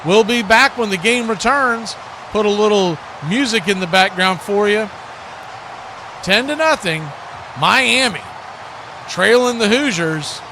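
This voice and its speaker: A man's voice: high voice, unhurried, long pauses. A man doing live play-by-play football commentary in a conversational way, mixing calls with his own opinions. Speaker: play-by-play announcer for a fan watch-party stream. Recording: clearly noisy live stream audio.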